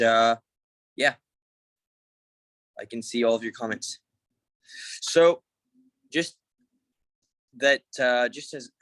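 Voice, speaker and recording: A man's voice: mid-range voice, a bit bright, no echo. A young man from Hong Kong giving a casual conference talk over a video call, informal and full of fillers and restarts. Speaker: conference speaker. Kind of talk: casual online presentation.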